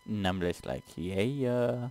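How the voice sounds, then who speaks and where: A man's voice: on the low side, slow, gravelly, darkish tone, a little hiss or hum. A man co-hosting a casual let's play, reading game text aloud with stumbles and sweary, exasperated asides. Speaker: gaming show co-host playing and reading aloud.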